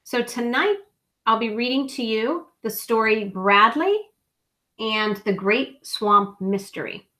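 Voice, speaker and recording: A mature woman reading a children's story aloud, deliberately stressing key words and adding small asides for young listeners. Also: fairly high pitch, expressive, sharp tone.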